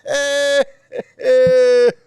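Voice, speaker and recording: A man gives a mocking rant over video clips, laughing often and repeating his jabs. His voice sits fairly high and sounds very clear and clean. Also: completely flat delivery, drawn-out words, a little mellow. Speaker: YouTube commentator.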